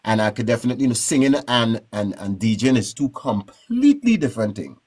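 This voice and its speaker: A man from Trinidad with a Trinidadian accent, telling stories in a relaxed, rambling way as a guest in a casual interview. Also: mid-range voice, quick pace, breathy edge. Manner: relaxed, rambling, frequent "you know what I'm saying". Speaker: guest musician.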